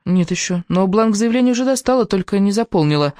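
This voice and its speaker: A woman's voice: medium pitch, animated, quick pace, mellow, no echo, studio quality, voice pushed up, lightly acted. A woman voicing a seventeen-year-old girl student in an audiobook, candid and a little defiant. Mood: unsure, candid. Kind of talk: audiobook character dialogue.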